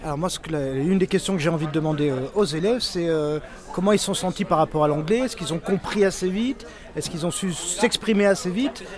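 A man's voice: high-pitched, a little fast, crowd noise. A man, a teacher, asking students hesitant questions with many fillers, outdoors on a ferry. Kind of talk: interview questions.

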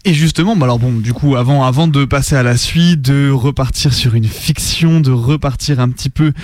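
A man co-hosting a casual live radio show, speaking haltingly as he searches for his words. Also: medium pitch, a little mellow, slight background noise. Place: radio studio.

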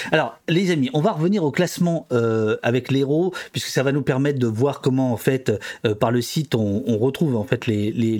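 A man's voice: mid-range voice, a little mellow. A man hosting a casual online panel, talking with many fillers and restarts. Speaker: livestream host.

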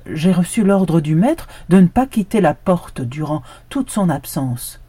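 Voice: mid-range voice, big pitch swings, a little slow, warm tone, breathy edge. Give me an anxious guard dog, put on by a storyteller, begging and insistent. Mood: pleading. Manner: insistent, pleading.

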